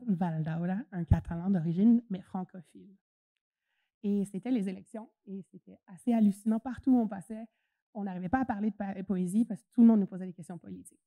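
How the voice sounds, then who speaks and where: Woman, conference speaker, mellow dark tone, casual hesitant delivery. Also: medium pitch, dry recording. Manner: chatty, hesitant with many fillers. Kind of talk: presentation talk.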